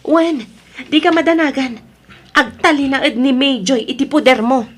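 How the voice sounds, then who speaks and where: A woman's voice: high-pitched, steady tone, normal speed, lightly acted. A woman with an Ilocano accent acting a stubborn, pleading married woman in love with another man in a radio drama. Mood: defiant.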